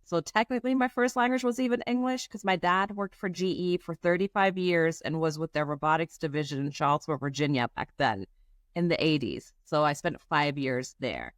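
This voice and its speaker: A woman's voice: sits low, fairly quick, dry recording. Friendly woman from Germany, dry sound. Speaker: venture capital guest. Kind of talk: casual interview chat.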